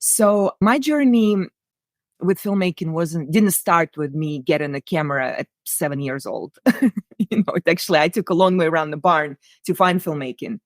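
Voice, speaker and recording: A woman's voice: on the low side, moderate pace, no room sound. Russian-accented woman telling her story, dry close sound. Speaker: interview guest, writer-director. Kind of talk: conversational personal storytelling.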